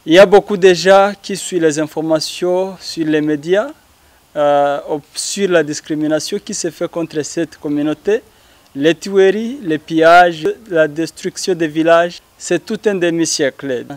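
A man's voice: fairly high pitch, measured pace, no room sound. A young man, hosting an interview programme into a handheld microphone. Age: young adult. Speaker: interview host. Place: outdoors by a house wall.

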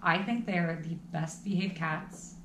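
A woman's voice: fairly low pitch, a little slow. A young woman vlogger chatting casually at home, dropping lots of "like" fillers. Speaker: travel vlogger. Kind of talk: casual chat. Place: small room at home, indoors. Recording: echoey room with faint noise.

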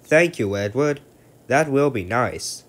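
A man's voice: medium pitch, takes it slowly, pitched above the performer's own voice. Man playing an eager cheeky little engine. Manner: excited, bright, breathless.

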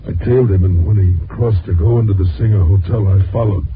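Hard-boiled American reporter, male, deep voice, old radio drama on muffled tape with music. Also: fairly quick, a little hiss or hum. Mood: bitter, defeated. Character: ambitious hard-boiled newspaper reporter, later a bitter washed-up loser.

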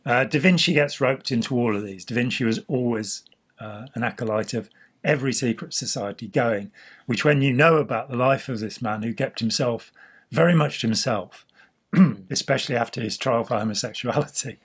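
Hoarse older man explaining. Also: medium pitch, normal speed. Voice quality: husky. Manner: gestures freely while explaining. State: slightly hoarse. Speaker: host. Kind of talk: casual explanatory chat. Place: small indoor room.

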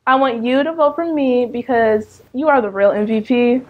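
A somewhat high voice from an American girl in her teens. She makes a prepared student election speech, recorded with slightly dull sound. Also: moderate pace. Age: teenager, about 14. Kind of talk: prepared campaign speech to camera.

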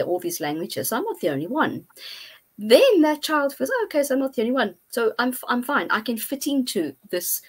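A middle-aged woman, a guest researcher, explaining her findings thoughtfully on a video call from her home study, with frequent pauses and fillers. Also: medium pitch, slightly rough. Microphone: earphone mic on video call.

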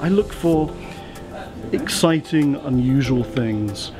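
A man's voice: medium pitch, animated, takes it slowly. A man, gushing in casual chat while shopping a flea market, effusive and theatrical. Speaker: interior designer, show host. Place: indoor flea market stalls and car interior. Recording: music bed underneath.

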